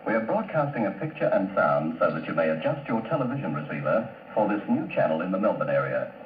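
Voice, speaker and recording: A man's voice: low voice, big pitch swings, fast. A man reading a formal, instructive test announcement as a television station announcer, on an old archive broadcast recording. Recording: echoey, tinny, slightly noisy archive broadcast.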